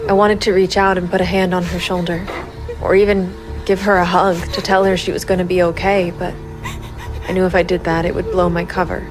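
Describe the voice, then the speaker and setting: Woman acting a low-voiced, grim female vigilante. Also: flat delivery, fairly quick, music in the background, lightly acted, low-quality recording. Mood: determined, sombre. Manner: controlled, stern, resolute. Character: grim vigilante woman private investigator, cold and composed. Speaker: narrator and lead character. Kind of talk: gritty noir crime drama narration.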